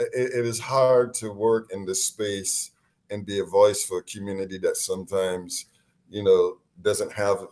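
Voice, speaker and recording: A man from Barbados, a deputy court commissioner, speaking earnestly over a remote call, often restarting his sentences. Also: lowish voice. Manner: earnest, animated, frequent restarts. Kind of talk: casual interview on a call. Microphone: remote call line.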